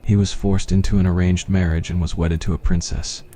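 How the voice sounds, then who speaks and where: A man's voice: sits low, flat delivery, a little fast, mellow. A man reading a scripted fantasy roleplay as ASMR story narration.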